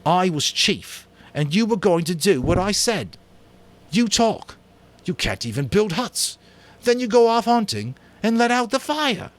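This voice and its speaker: An angry young boy chief throws loud accusations, as a man acts him out. The voice sits high and swings widely, bitter and hard, in a storybook reading. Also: sing-song, measured pace. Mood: accusing.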